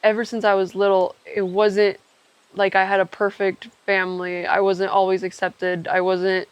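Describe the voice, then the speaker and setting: A woman's voice: medium pitch, completely flat delivery, moderate pace, clear, resonant tone, dry recording. Young woman, mid-range voice, candid reflective podcast conversation, trails into asides, dry sound. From Ecuador. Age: young adult. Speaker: podcast co-host telling their own story.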